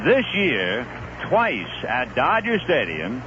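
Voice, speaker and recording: 1970s radio baseball announcer, lively pitch. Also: male voice, slow pace. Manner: relaxed storytelling delivery, rising sharply on big plays. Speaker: play-by-play announcer. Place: broadcast booth at a stadium. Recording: muffled 1970s radio broadcast with noise.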